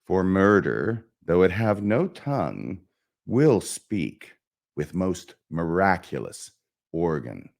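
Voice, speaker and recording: Anguished stage prince, played by a man: brooding Shakespearean soliloquy, slow and declamatory, hugely varied pitch. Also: big pitch swings, creaky, darkish tone, heavily acted. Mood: scheming, brooding. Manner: declamatory, brooding, emphatic with dramatic pauses. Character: anguished young prince, self-loathing, melancholy, bent on revenge.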